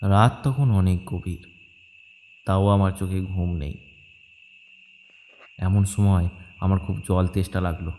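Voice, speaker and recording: A man's voice: deep voice, steady tone, slow, long pauses, a little hiss or hum. A man narrating a scripted first-person horror story in a dramatic, suspenseful way. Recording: loud music and rain behind. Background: music and rain sound effects.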